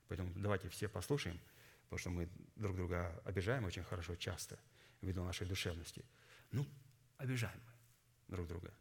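A man delivering a sermon in a formal, didactic, deliberate way, his voice somewhat hoarse from a cold. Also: lowish voice. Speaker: preacher. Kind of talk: sermon with scripture readings and exposition.

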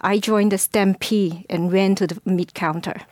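A woman from China speaks English as an interview guest. Her voice sits in a middle pitch, and she pauses and corrects herself often. The sound is dry. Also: fairly even intonation, a little mellow, dry recording. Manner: hesitant, with fillers and self-corrections. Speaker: guest, former school board candidate. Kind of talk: personal storytelling in an interview.

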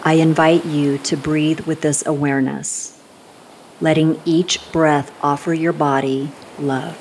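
A woman guiding a scripted meditation in a soothing, unhurried manner, giving gentle instructions with long pauses. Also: low-pitched, flat delivery, a little hiss or hum, rough recording. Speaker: meditation guide.

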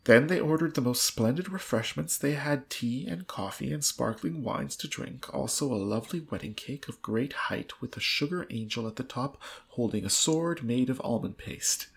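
Husky, creaky-voiced woman reading a story aloud. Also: rumbling low, animated, normal speed, few pauses. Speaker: podcast co-host reading a novel aloud. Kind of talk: dramatic storybook reading with amused asides.

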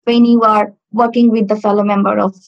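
A woman from Bangladesh whose first language is Bangla, talking in a halting way with many fillers and restarts as a learner on a video call. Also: mid-range voice, little pitch movement, gravelly, muffled recording. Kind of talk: casual video-call interview. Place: home in Stockport.

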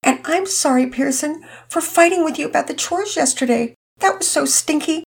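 A woman voicing a sweet young girl in a storybook, stammering through an apology in a high voice. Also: normal speed, creaky. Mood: tearful.

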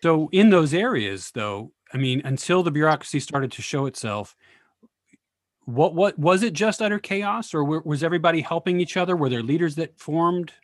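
Higher-voiced man asking probing questions. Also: animated, normal speed, no room sound, clean studio sound. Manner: probing, thoughtful, politically outspoken. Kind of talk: post-reading panel discussion.

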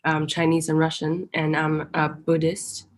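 A woman's voice: sits low, completely flat delivery, measured pace. A young woman from Hong Kong, a politics student, speaking hesitantly with some stumbles. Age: young adult. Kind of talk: casual discussion.

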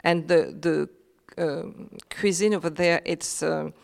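A woman with a low, gravelly voice, a Creole speaker from Réunion. She talks earnestly, pausing on fillers and restarting her sentences. Her voice rings with a long reverb. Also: fairly even intonation. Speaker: guest. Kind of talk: reflective conversational interview.

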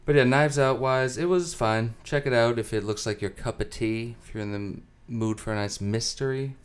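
A man's voice: medium pitch, moderate pace, smooth. A man from the Boston area co-hosting a podcast, teasing and laughing often in casual chat. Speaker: podcast co-host. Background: music playing.

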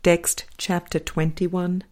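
Female audiobook reader for spiritual text: lowish, soft-toned, measured delivery with deliberate pauses. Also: flat delivery. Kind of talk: measured reading of a spiritual book.

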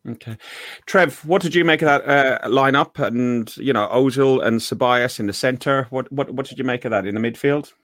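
A man's voice: mid-range voice, normal speed, a bit bright, dry recording, studio quality. An Irish man hosting a casual fan chat show, talking in a rambling way with many restarts. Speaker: podcast host.

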